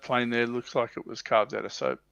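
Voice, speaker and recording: A man's voice: mid-range voice, flat delivery, normal speed, gravelly, a bit bright, clean tone, dry recording. A man on a livestream panel in casual hobby chat, hesitant and thinking aloud. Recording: dry, dull lo-fi microphone sound.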